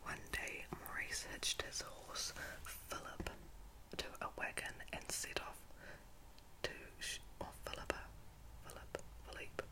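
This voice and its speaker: A woman reads a children's storybook in a breathy ASMR whisper, halting now and then to correct herself. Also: rough recording. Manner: halting reading with slips and self-corrections.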